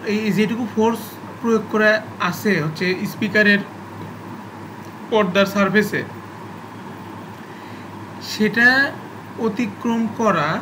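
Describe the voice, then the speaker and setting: Male informal tech tutor, halting and repetitive for emphasis, unscripted, clearly noisy recording. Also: high-pitched. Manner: halting, often repeats phrases for emphasis. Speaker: explainer of physics and electronics. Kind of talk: informal unscripted technical explanation. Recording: clearly noisy, constant background hum.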